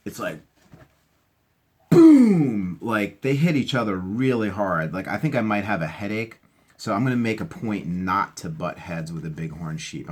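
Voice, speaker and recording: Male children's storytime host, lower register, informal and chatty, expressive, talks directly to young listeners. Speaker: read-aloud host for children. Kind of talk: storybook read-aloud with casual asides.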